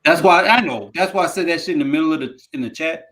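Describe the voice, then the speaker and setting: A man's voice: on the high side, quick pace, fairly bright tone. A man co-hosting a casual sports podcast, talking bluntly and emphatically with plenty of swearing. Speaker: podcast co-host.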